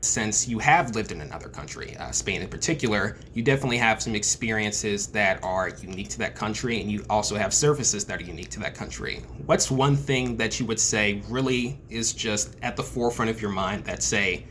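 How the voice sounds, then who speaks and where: A man's voice: lowish voice, lively intonation, a little fast, hardly any pauses, a bit bright. A man hosting an interview, asking earnest questions and leaning on the word "just". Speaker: host. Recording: faint background noise.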